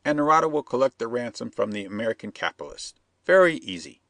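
A man's voice: medium pitch, big pitch swings, quick pace, gravelly, a bit bright, no echo, studio quality, voice pushed up. A man voicing a vehement male schemer who talks in stiff, broken phrasing. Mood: insistent. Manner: insistent, persuasive. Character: scheming male plotter, vehement, stilted speech.

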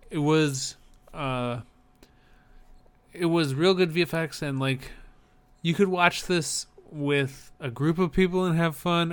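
A man's voice: mid-range voice, fairly bright tone, smooth. A man co-hosting a podcast, talking in an easygoing, conversational way as he chats about films. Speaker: podcast co-host. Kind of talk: casual chat about films.

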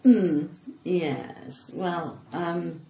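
A woman's voice: lowish voice, extremely slow, dark tone. A well-spoken woman in her sixties with a cut-glass English accent, chatting casually and playfully as a flirty podcast guest. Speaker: podcast guest, stand-up comedian. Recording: echoey room, faint noise, clicks.

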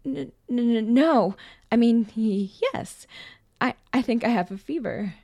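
A woman's voice: a little high, a little slow, warm tone, pitched above the performer's own voice. A woman voicing a nervous young boy in a story, stammering and hesitant.

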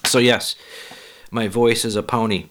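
A man hosting a solo podcast, a little hoarse, talking in a rambling, profane, self-deprecating way. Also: fairly low pitch, fairly even intonation, a little slow, a bit bright. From Boston area. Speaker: podcast host and stand-up comic. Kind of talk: casual solo podcast monologue.

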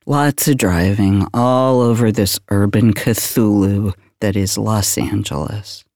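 An actor plays a world-weary older male detective in a noir audio drama. His voice is dark and soft-edged, and he speaks slowly, brooding in a deadpan way. The recording is close and dry. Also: on the low side, no room sound. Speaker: police detective. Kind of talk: noir monologue, recollection.

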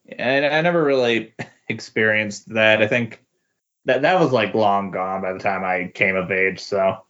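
Male, lowish pitch, casual, halting and hesitant, dull lo-fi sound. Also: a bit bright. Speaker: podcast host. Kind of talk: casual chat. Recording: muffled lo-fi audio.

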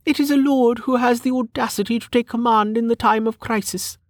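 A woman's voice: a little high, steady tone, fairly quick, dry recording, studio quality, pitched above the performer's own voice, natural voice. A woman voicing a prim, snobbish noblewoman in an audiobook, with a testy, proper manner. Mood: haughty, proper. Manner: haughty, sniffing, proper. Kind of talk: audiobook character acting.

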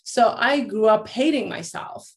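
Woman in her late thirties, halting storyteller. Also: fairly high pitch. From Iran. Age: late 30s. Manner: halting, with many restarts and fillers. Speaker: guest, life coach. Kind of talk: candid personal storytelling.

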